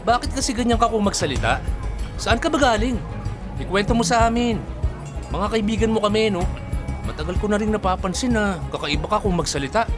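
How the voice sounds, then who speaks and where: A man's voice: high voice, lively intonation, fairly quick. An actor playing a blunt, nosy but friendly young male janitor in a Filipino radio drama. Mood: curious, friendly. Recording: music bed under dialogue.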